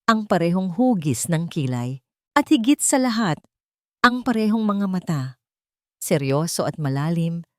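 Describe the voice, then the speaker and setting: Low-pitched Filipino woman narrating drama. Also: a little mellow, no echo, studio quality. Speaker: story narrator. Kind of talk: scripted dramatic narration of a love story.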